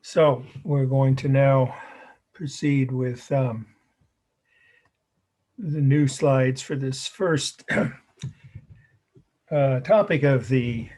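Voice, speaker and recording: Man, middle-aged, college lecturer, slightly hoarse, rambling, many fillers. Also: mid-range voice, darkish tone. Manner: rambling, halting with fillers and self-corrections. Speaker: college art history lecturer. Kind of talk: recorded class lecture.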